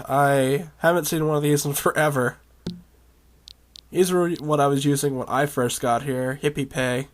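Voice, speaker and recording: A man with a hoarse, raspy voice chatting casually as he explores a virtual world. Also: medium pitch. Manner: rambling, chatty, with coughs and laughs. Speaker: video game vlogger. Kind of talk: casual commentary while exploring a game.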